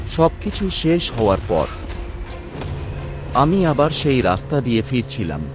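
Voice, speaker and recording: A man telling a first-person horror story in a hushed, dramatic way. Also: mid-range voice, big pitch swings, measured pace. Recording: spooky music bed under narration.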